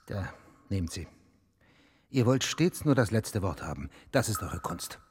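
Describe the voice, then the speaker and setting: An actor playing a stern, grudging official in a German radio drama, formal and reproachful. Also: male voice, on the low side, very animated, unhurried. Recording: reverberant scene with faint clicking noise.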